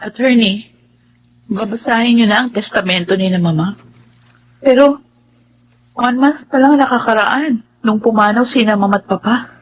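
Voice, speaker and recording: An actress playing a gentle, hurt younger sister with a respectful, pleading manner in a radio melodrama. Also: female voice, on the high side, moderate pace, long pauses, dull audio, a little hiss or hum, natural voice. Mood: polite, uneasy. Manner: soft-hearted, pleading, respectful. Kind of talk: Tagalog radio melodrama acting.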